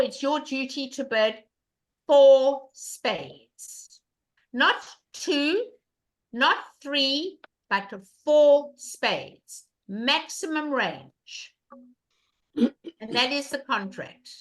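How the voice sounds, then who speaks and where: An older woman with a loud voice teaching an online bridge class, emphatic and repeating questions to her students over a webcam. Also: high voice, expressive, extremely slow, low-quality recording.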